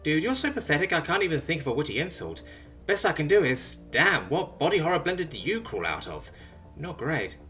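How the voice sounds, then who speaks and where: A man voicing a defiant, sarcastic young woman held captive, raising his pitch and speaking quickly. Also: sing-song, bright, forceful tone, faint music behind. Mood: cocky.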